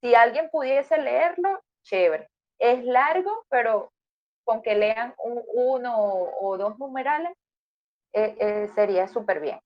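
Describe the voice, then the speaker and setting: A woman's voice: on the high side, lively intonation, takes it slowly. A woman with a Venezuelan accent, a university lecturer giving an online class and explaining points to her students with everyday examples. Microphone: voice chat on a phone or computer. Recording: dull lo-fi voice chat audio.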